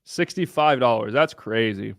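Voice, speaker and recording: A man's voice: medium pitch, moderate pace, fairly bright tone, no echo, clean studio sound. Brash young man chatting on a livestream. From Southern Ohio. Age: young adult. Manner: brash, blunt, swears freely. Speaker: livestream host. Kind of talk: casual livestream chat answering viewers.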